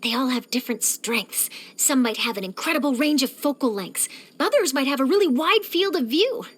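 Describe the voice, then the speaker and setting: Eager high-pitched young girl reporter. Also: normal speed. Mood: friendly, eager. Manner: animated, chatty, expressive, theatrical delivery. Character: young female newspaper reporter, eager, curious, nosy, cheerful. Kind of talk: scripted video game character voice lines.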